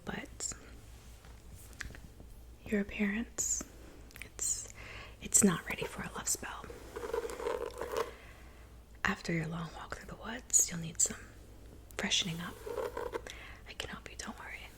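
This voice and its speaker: A woman playing a calm, helpful woodland witch in a soft, whispered ASMR roleplay, unhurried and murmuring. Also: medium pitch, lightly acted. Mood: gentle, candid. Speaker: ASMR performer.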